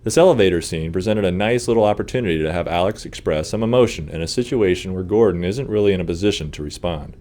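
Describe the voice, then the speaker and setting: Man, deep and dark-toned, scripted developer commentary. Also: quick pace, few pauses. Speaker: game developer.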